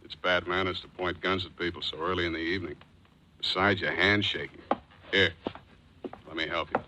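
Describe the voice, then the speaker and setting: An American actor in a 1940s radio drama playing a cool, wisecracking private detective, dry, clipped and sardonic. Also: male voice, deep voice, steady tone, a little fast, bright, forceful tone, dull audio, a little hiss or hum. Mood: wry, dismissive. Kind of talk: 1940s radio acting with first-person narration. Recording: old 1940s radio recording.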